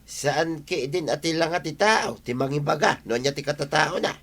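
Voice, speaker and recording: A man's voice: a little high, normal speed, creaky, fairly bright tone. A man acting a suspicious, stingy villager who grumbles and complains, with an Ilocano accent, in a comedy radio drama. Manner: complaining, distrustful.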